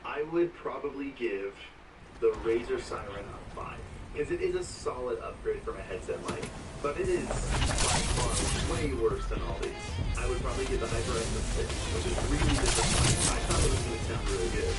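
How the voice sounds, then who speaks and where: A man with a deep voice casually reviewing microphones for his stream viewers, candid and relaxed. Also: fairly quick, loud music behind the voice. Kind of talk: casual product review to camera. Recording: distant mic with loud background noise.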